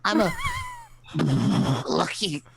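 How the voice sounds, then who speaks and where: A man's voice: a little high, expressive, creaky, a little hiss or hum, exaggerated voice. A man acting a flustered, stammering young pool player in a comedic radio play. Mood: flustered, halting. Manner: halting, stammering, drawn-out delivery.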